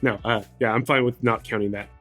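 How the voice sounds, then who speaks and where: A man's voice: mid-range voice, fairly even intonation, brisk, a bit bright. A man chatting casually as a player in a tabletop roleplaying game. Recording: music playing behind the voice. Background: music.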